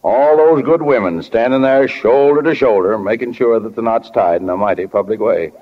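A man's voice: medium pitch, normal speed, a little hiss or hum, natural voice. An actor playing a kindly, flustered middle-aged small-town father in 1940s radio drama, hesitant and folksy, often fumbling for words. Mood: reassuring. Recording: dull, lo-fi 1940 radio recording.

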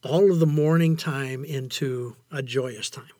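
An older man speaking as an interview guest in a reflective, conversational way, haltingly and often repeating phrases for emphasis. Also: mid-range voice, steady tone, darkish tone, dry recording, studio quality. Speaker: interview guest, amateur writer.

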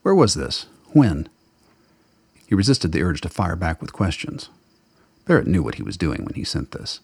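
Very deep, gravelly male narrator. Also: huge pitch swings, moderate pace, darkish tone, no echo, studio quality. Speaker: narrator of a radio play. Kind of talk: dramatic scripted narration of fiction.

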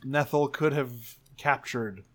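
A man improvising as a player in a tabletop roleplaying game, deliberate and pausing mid-sentence to think. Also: medium pitch.

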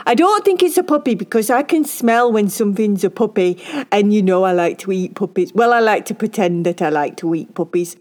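A woman voicing an excitable, gluttonous male pet dog in a children's bedtime story, talking eagerly and repeating himself. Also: mid-range voice, a little fast, close to an everyday voice. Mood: puzzled, rambling.

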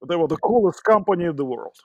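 A middle-aged man from Russia with a Russian accent, a podcast guest telling his career story with many pauses and fillers. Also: a little high, lively intonation, no room sound, muffled recording. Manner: hesitant, many fillers and restarts. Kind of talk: interview conversation.

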